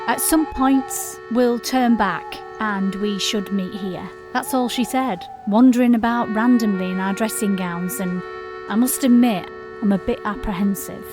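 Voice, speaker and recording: An actress performs an apprehensive woman with a medium-pitched voice. She reflects and confides, full of self-doubt, as in an inner monologue. Music plays clearly behind her in this audio drama. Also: lightly acted.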